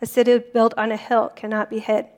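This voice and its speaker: Lady reading scripture out loud, careful and calm, a bit high. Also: a little fast. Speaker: volunteer scripture reader.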